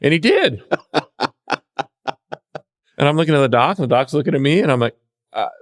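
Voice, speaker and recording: A man's voice: on the high side, normal speed. A middle-aged man telling casual anecdotes as a podcast guest, acting out bits of dialogue as he goes. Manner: animated re-enacting of dialogue. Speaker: podcast guest, veteran EMS worker.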